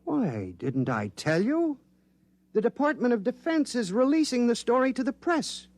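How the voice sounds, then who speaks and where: An actor in a 1950s radio drama playing an eager, excitable male scientist who explains things in a rush. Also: very high-pitched, moderate pace, no room sound, full character voice. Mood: excited.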